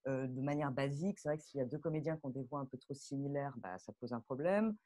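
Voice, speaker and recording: A woman audio fiction director explaining her craft off the cuff in a live online talk, halting and animated as she thinks aloud. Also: extremely low pitch. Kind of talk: spontaneous spoken explanation at a live online masterclass. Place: bedroom, on a video call.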